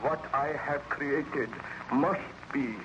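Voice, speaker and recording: An actor playing an exhausted, haunted baron with solemn, theatrical delivery in an old radio serial. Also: male voice, medium pitch, slow, lo-fi sound, background noise, natural voice. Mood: grim, weary. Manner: theatrical, solemn, dramatic phrasing. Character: exhausted, haunted baron obsessed with destroying his monster. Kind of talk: 1930s-40s radio serial acting.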